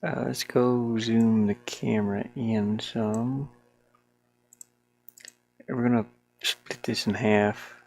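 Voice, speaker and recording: A solo game developer thinking aloud in a casual, unscripted way, with a creaky voice and colloquial, mildly grumbling speech. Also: medium pitch, fairly even intonation, slow, pauses often, a little mellow. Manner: muttering to self, grumbling mildly, colloquial 'ain't' and double negatives. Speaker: solo game developer narrating their work. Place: small warm room with a fan, indoors.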